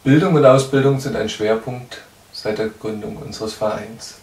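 In an echoey room, a little away from the microphone, a man in his thirties delivers a charity news update. His voice sits fairly low and he speaks as a spokesman presenting prepared information. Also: moderate pace, a little mellow, recorded from a distance. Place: small indoor room.

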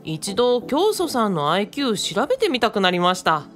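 A woman's voice: mid-range voice, normal speed, soft background music. A woman playing a courteous, level-headed and slightly bashful young female assistant in a radio drama. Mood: polite, cheerful. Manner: courteous, animated.

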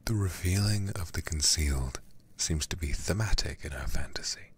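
A man reading a horror game aloud in ASMR style, hushed, breathy and deliberate, close to the microphone. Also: deep voice, a little hiss or hum. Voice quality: breathy, whispery. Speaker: livestreamer playing a horror game.

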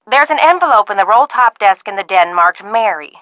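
A woman's voice: medium pitch, expressive, fairly quick, bright, forceful tone, no echo, lo-fi sound. An actress playing a chatty, motherly ranch-owner aunt in a video game, folksy and fondly scolding over the phone. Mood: brisk, friendly. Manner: folksy, talkative, fond scolding.

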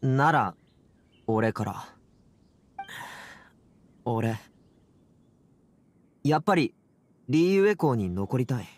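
An actor playing an earnest, excitable teenage boy in a video game, with casual and expressive speech. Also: medium pitch, very slow, long pauses. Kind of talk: Japanese video game voice acting.

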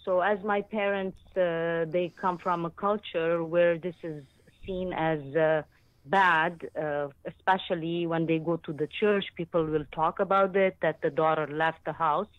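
A woman's voice: fairly low pitch, fairly even intonation, unhurried, no echo. A woman in her fifties from Lebanon calling in to a show to share a personal account, hesitant and often searching for words. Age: 50s. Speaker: phone-in caller. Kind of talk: personal account on a radio call-in. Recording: tinny narrow phone line.

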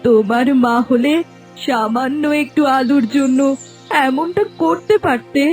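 A woman's voice: high-pitched, unhurried, darkish tone, faint music behind. A woman playing a frail, meek old mother-in-law in a cartoon folk tale, pleading in a weary, plaintive drawl. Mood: pleading, hurt. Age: older.

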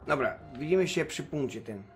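A man's voice: a little high, animated, fairly quick, soft background music. A man doing casual gameplay commentary as a streamer, muttering to himself and swearing freely. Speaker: game streamer.

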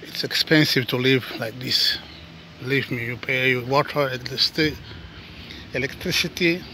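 A man, not a native English speaker, talking casually to his viewers while walking outdoors and filming with his phone, often calling them guys and dropping to soft asides. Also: medium pitch, steady tone, moderate pace, slight background noise. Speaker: vlogger. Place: outdoors on a suburban footpath.

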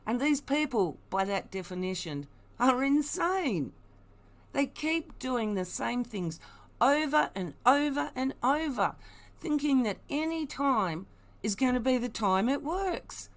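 A woman's voice: sits high, lively intonation, takes it slowly. A woman giving blunt, emphatic, mocking commentary, unscripted and opinionated. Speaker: online commentator.

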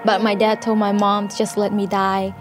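A young woman, giving a soft, steady personal testimony in a studio interview. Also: mid-range voice, normal speed. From Cambodia. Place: indoor studio interview set. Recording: music underneath.

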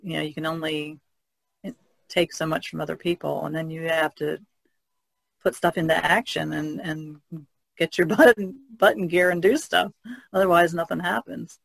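A woman with a creaky, gravelly voice chats as a podcast panelist. She is plain-spoken and casual, and her sound is close and dry. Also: low-pitched, steady tone, moderate pace, no room sound. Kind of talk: casual chat.

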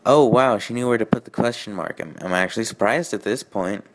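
A man hosting a review show, reading aloud and commenting with mock-indignant, deadpan sarcasm. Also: lowish voice, brisk. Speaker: host of a fan fiction review show.

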